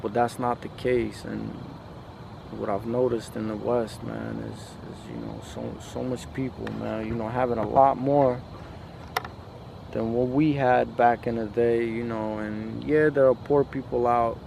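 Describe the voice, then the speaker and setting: A man from a post-Soviet country speaking in a casual, reflective monologue, halting and repeating himself, with lots of slangy filler. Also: mid-range voice, level tone, gravelly, noisy background. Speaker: podcast host.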